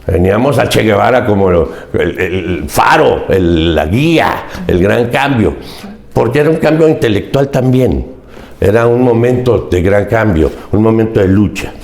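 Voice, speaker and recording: A man's voice: fairly low pitch, animated, normal speed, vocal fry, a little mellow, reverberant. A Mexican man in his seventies, a podcast guest recounting his life in an earnest, emphatic way and often repeating key phrases. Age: 70s. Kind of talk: reflective interview storytelling.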